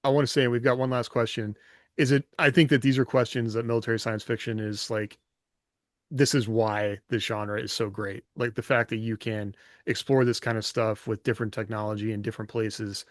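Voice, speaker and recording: A man's voice: fairly low pitch, little pitch movement, fairly quick, no echo, clean studio sound. A man in casual podcast discussion, thinking aloud in a reflective, rambling way with rhetorical questions. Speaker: podcast host and author.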